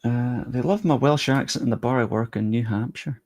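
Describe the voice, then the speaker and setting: A man's voice: mid-range voice, moderate pace, darkish tone, dry recording. A young man live-streaming, chatting casually with his viewers and thinking aloud as he works on screen.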